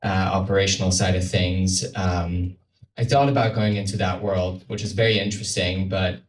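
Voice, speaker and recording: Swiss-born guy on a panel, deep voice, informal and a bit halting, echoey room with some noise. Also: flat delivery. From Switzerland. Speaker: panel guest from a carbon removal company. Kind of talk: casual spoken panel talk. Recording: roomy, distant mic, noisy event space.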